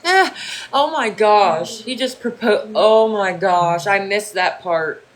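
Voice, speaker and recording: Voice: medium pitch, expressive, takes it slowly, poor audio. A livestreamer from Ohio in casual chat with viewers, rambling and repeating themselves, swearing freely.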